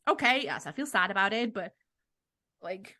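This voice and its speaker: A woman's voice: medium pitch, lively intonation, moderate pace, pushed and bright. A woman in her thirties, a podcast host being interviewed, talking frankly in a casual conversation, swearing now and then and laughing often. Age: 30s.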